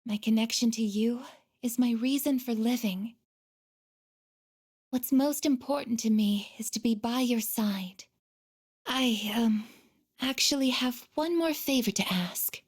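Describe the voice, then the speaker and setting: A woman voicing a gentle, earnest female android in a video game, speaking softly and hesitantly with shy devotion. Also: fairly high pitch. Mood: resolute, devoted. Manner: soft, hesitant, deliberate, tender. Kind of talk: video game voice acting, emotional dialogue.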